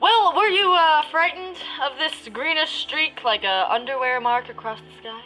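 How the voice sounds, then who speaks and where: A woman playing a flustered, halting male news reporter in a parody of old radio drama. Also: high voice, bright, forceful tone, poor audio. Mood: exasperated. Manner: halting, exasperated live commentary.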